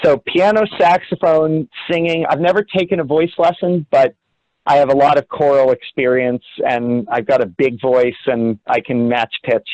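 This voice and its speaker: A young man from the Cincinnati area speaks over a video call, his voice at an average pitch for a man. He reminisces in a rambling, reflective way, often stopping to correct himself. The audio is dry and somewhat dull. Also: fairly even intonation, normal speed, a little mellow, dry recording. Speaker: guest, orchestra assistant conductor. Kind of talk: casual storytelling in an interview.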